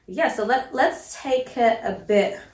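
A woman's voice: on the high side, slow pace, a little mellow. A young woman from Sudan hosting a podcast, chatting casually and calling her guest babe. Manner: slangy, calls guest babe. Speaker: podcast host. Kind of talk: casual chat. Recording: roomy echo.

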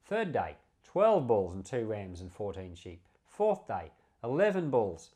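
A man's voice: fairly low pitch, huge pitch swings, measured pace, dark tone. A man giving informal Bible teaching as a pastor, speaking earnestly and conversationally with emphatic repetition. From Australia. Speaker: pastor, Bible podcast host.

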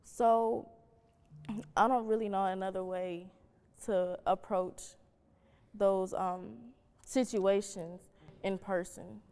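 A young woman speaks reflectively on a student panel. Her mid-pitched voice is smooth and resonant, and it carries through a hall with long reverb. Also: fairly even intonation, slow, long pauses, warm tone. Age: young adult.

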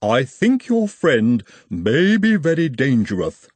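A grave, formal stranger in a storybook, as a male reader puts him on. He speaks slowly and politely in a timbre unlike the reader's own, husky and dry. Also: medium pitch, huge pitch swings, no echo, pitched above the performer's own voice, full character voice. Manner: slow, measured and polite. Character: formal, mysterious man, slow and courteous.

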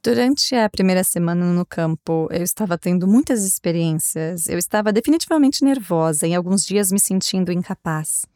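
A woman's voice: lowish voice, expressive, moderate pace, hardly any pauses, mellow, no room sound, studio quality. A woman who is a missionary guest, introducing herself and sharing her experiences openly. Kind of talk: personal sharing.

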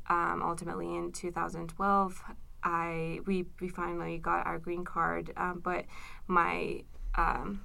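Young iranian-born woman in an interview, low-ish gravelly voice, lots of ums. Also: bright, forceful tone. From Iran. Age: young adult. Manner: thoughtful, many fillers and restarts. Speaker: graduate student, organizer. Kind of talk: interview answers.

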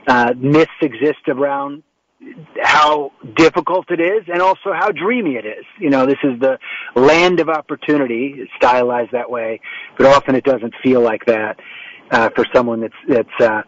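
A man's voice: mid-range voice, moderate pace, dull audio. A man hosting an interview show over a remote setup, rambling a little with frequent restarts and fillers as he introduces guests and asks questions. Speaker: talk show host. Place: home setup in New York. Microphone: remote call setup.

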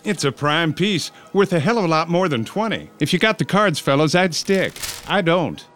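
An actor playing a cautious, plain-spoken banker advising players at an 1890s saloon card game. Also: male voice, on the high side, sing-song, normal speed, a bit bright, faint music behind. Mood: cautioning. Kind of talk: western screen drama acting.

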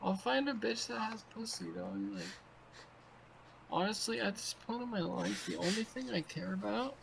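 A man's voice: high-pitched, sing-song, normal speed, vocal fry, over a noisy crowd, rough recording. A man in his twenties in crude, rambling casual chat on a video call, heavy with fillers and profanity. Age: 20s. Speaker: podcast co-host.

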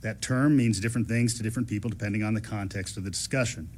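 An older man, a local government official, reading a formal prepared statement in a measured way. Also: fairly low pitch, a little mellow, slight background noise.

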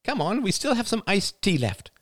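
A man's voice: a little high, huge pitch swings, slow pace, darkish tone, dry recording, clean studio sound, voice pushed up, exaggerated voice. A man voicing a middle-aged mother in an audiobook, calling out in a brittle, cheerful sing-song. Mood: brightly insistent. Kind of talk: dramatic audiobook dialogue.

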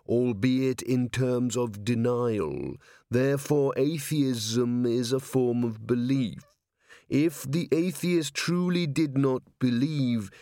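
A man's voice: mid-range voice, warm tone, dry recording, clean studio sound. A man voicing a huge male golem in an audiobook, solemn and literal-minded, speaking in formal, ponderous phrases. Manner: formal, deliberate, ponderous wording. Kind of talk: audiobook character voice.

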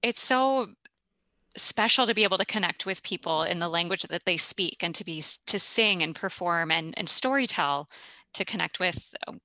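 A woman from Minnesota with a lowish, bright, crisp voice. She is a classical singer and speaks thoughtfully and articulately, pausing on ums. The sound is close and dry. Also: no room sound. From Minnesota, USA. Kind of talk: casual conversation.

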